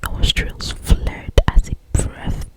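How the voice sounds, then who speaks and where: An ASMR creator reading a romance novel aloud in a breathy whisper, with lingering phrasing and occasional asides. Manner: slow, lingering phrasing with repeated words and asides.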